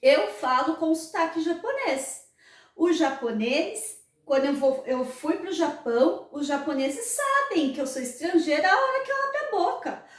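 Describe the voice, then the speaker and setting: Brazilian woman vlogger, high voice, earnest teaching tone, distant mic with room echo. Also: expressive, normal speed. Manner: frequent tag questions, earnest and didactic. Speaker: channel host. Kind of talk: explanatory vlog talk.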